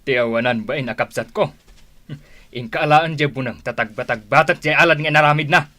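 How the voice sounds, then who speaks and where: An actor with an Ilocano accent playing a greedy, scheming older brother in a radio drama, mocking and laughing. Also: male voice, medium pitch, brisk, sharp tone, natural voice. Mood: scornful. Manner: mocking, frequent sneering laughs.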